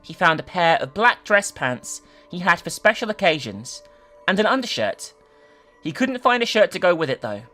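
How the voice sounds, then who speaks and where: An online host giving a dramatic reading of a horror story, with animated, sweary commentary. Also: mid-range voice, normal speed, soft background music. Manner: animated, sweary, incredulous in asides.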